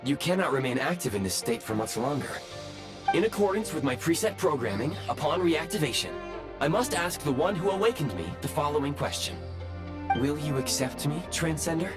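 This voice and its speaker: A man's voice: on the high side, animated, fairly quick, over music, close to an everyday voice. A man voicing a polite robot assistant in a video game, speaking in formal, precise, machine-like phrases with devotion to serving. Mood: calm, dutiful. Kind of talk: video game character dialogue.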